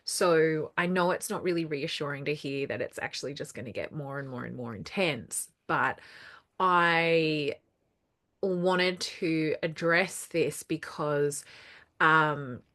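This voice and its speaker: A woman's voice: low voice, a bit bright. A woman hosting her own numerology channel, talking casually and earnestly, repeating words for emphasis, with a lingering cough after throat trouble. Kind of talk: casual solo talk to camera.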